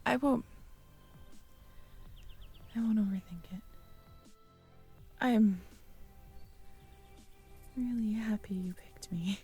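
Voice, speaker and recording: A young woman talks slowly and haltingly, stumbling over her words as she speaks straight to the listener. Her voice sits a little high. Faint music plays beneath her. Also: breathy edge. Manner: hesitant, stammering, soft-spoken. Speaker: audio drama performer speaking to the listener. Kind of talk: intimate audio roleplay.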